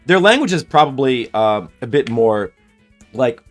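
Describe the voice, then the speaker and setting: A man's voice: medium pitch, animated, a little slow, faint music behind. A man streaming a game and chatting casually, thinking aloud in a rambling way and answering chat messages.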